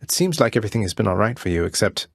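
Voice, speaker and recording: A man playing a young underworld prince in a video game, friendly, courteous and gently wry. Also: on the low side, animated, rapid-fire, creaky, no echo, clean studio sound. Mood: warm, encouraging.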